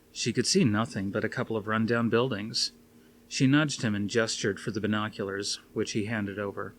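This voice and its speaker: A man's voice: lowish voice, a bit bright, a little hiss or hum. A man reading a scripted story aloud as an audiobook narrator. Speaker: audiobook reader.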